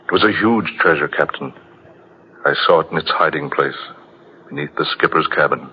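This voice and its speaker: An actor playing an astonished, earnest seafarer, melodramatic in an old radio drama style. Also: male voice, extremely low pitch, normal speed, breathy edge. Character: astonished, earnest male seafarer, witness to a haunting. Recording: dull old radio recording, music behind.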